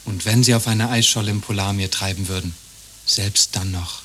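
An actor playing a courteous, slightly awkward gentleman caller in an absurd radio play. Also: lowish voice, fairly even intonation, a little fast, a little hiss or hum, natural voice. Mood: courteous, flattering. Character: polite, flattering gentleman caller growing uneasy. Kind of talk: German radio play acting, absurd satire.

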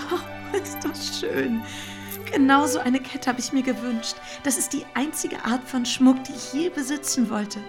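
This is a shy, devoted young woman in a period radio play. Her voice is fairly high and full of feeling, often dropping toward a hush. Soft music plays faintly behind her. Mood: delighted. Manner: emotional, breaking into hushed whispers. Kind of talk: German radio play acting.

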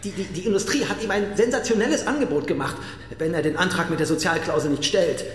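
Actor as bitter, higher-pitched resentful brother. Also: male voice, roomy sound, a little hiss or hum, lightly acted. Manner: halting, defensive, broken phrases. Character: bitter, resentful younger brother confessing to a killing. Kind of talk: radio drama acting.

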